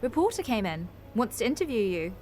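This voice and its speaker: Lively, highish-voiced waitress. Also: female voice, a little fast. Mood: brisk, friendly. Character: kindly, brisk, slightly awkward café waitress. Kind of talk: radio soap acting.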